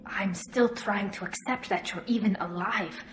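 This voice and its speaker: An actress playing a protective young alien team leader in a video game, earnest and insistent. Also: female voice, medium pitch, unhurried, slight background noise, lightly acted. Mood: protective, indignant. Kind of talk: video game cutscene acting. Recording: roomy echo, music underneath.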